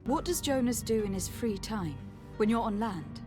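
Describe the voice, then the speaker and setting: A woman's voice: mid-range voice, expressive, moderate pace, close to an everyday voice. An actress playing a polite, composed young noblewoman in a fantasy game, courteous and formal as she speaks. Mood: inquiring. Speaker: voice actor in a video game. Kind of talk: scripted fantasy game dialogue. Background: music.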